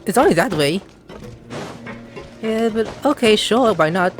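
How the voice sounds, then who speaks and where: A man's voice: high voice, lively intonation, measured pace. A man hosting a gaming video with casual, slangy, exclamatory commentary, stumbling a little when he reads aloud. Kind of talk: casual gameplay commentary with read-outs. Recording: faint game music behind the voice.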